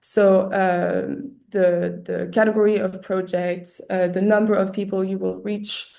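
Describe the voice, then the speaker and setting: A woman with a mellow, slightly hoarse voice, losing it as she talks. She hosts a webinar over a computer mic, hesitating on fillers and restarting phrases. She keeps a practical, explaining tone. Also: medium pitch, little pitch movement, measured pace. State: losing her voice, clearing her throat often. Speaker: webinar presenter for an arts award. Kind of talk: explaining an application process. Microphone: computer mic on a video call.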